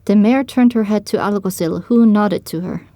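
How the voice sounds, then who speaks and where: A woman's voice: medium pitch, moderate pace, dark tone, no room sound. A woman reading first-person dramatic story narration for an audio drama.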